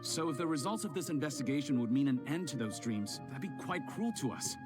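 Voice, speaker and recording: A man's voice: medium pitch, lively intonation, brisk, music in the background, lightly acted. A man voicing a grieving townsman in a game who speaks in a hesitant, pleading way. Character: grieving townsman, reluctant dreamer.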